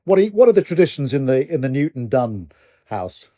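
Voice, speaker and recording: A man's voice: medium pitch, sing-song, fairly quick, warm tone, no echo. An older man whose first language is Norwegian, co-hosting a podcast in casual chat, hesitant with many restarts. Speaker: co-host, retired general.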